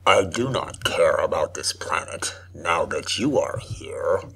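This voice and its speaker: An amateur performer playing an old, commanding villain in a family radio play, with a slow, formal delivery and a breathy rasp. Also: very deep voice, lively intonation, fairly bright tone, exaggerated voice. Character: menacing old armored villain grandfather, commanding and solemn.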